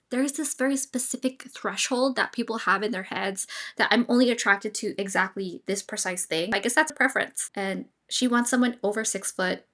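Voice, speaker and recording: She is a woman of about thirty with a fairly high voice. She talks casually and conversationally, like a host reacting to other people's stories, and she offers her opinions freely. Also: fairly bright tone.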